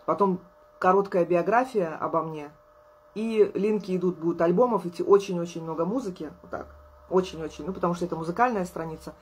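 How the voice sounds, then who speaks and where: Woman, 30s, vlogger, weary but friendly casual talk, husky tone, camera at table distance. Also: lowish voice, fairly quick. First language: Russian. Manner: tired, chatty, warm to followers, laughs often. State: low on energy. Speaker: artist and musician vlogger. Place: indoors at a table, small room.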